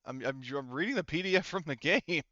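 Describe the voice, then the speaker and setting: A man running a tabletop game as game master, casually improvising narration in a halting, sweary way as he thinks aloud into a headset. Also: fairly high pitch, expressive, bright, forceful tone, no room sound, lo-fi sound. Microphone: headset mic.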